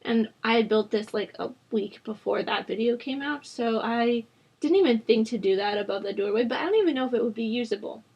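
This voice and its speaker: A young woman host rambling casually over a game build, her voice rough from a sore throat, with lots of fillers. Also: on the high side, brisk, muffled recording. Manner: rambling, chatty, with frequent fillers. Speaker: Sims builder channel host. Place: at home.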